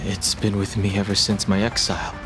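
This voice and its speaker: A man's voice: fairly low pitch, flat delivery, brisk, lightly acted. A man voicing a reserved, stoic young spearman in a video game, terse, serious and guarded. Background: music behind the voice.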